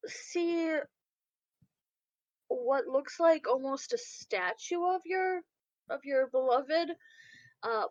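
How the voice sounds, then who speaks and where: Woman running a tabletop game, pretty high voice, takes her time, kinda muffled lo-fi mic. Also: dry recording. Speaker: game master. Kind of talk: improvised narration of a tabletop game. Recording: dull, lo-fi microphone sound.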